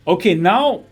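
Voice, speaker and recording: A young German man with a slightly high voice hosts a casual tech livestream in English, speaking with a German accent. He talks in a loose, chatty way, laughing often and restarting his phrases, while faint music plays underneath. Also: breathy edge. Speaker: host of a VR livestream show. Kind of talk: casual livestream tech talk, reading chat.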